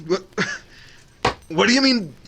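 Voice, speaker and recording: A man's voice: high-pitched, animated, slow pace, gravelly, noisy background, full character voice. A man playing a young suitor in 1940s radio drama style, sheepish and earnest, often hesitating and stammering. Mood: coaxing, sheepish.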